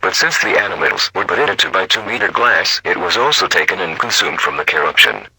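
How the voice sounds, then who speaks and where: A man with a formal, robotic, deliberate delivery, reading a scripted emergency broadcast announcement. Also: sits low, sing-song, gravelly, sharp tone, a little hiss or hum, poor audio.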